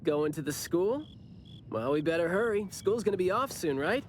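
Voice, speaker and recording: A glib anime game captain who shirks work. His delivery is breezy and teasing, with a lively, swinging pitch, as if every excuse were perfectly reasonable. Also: moderate pace, slight background noise. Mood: smooth, teasing. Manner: breezy, teasing, self-justifying.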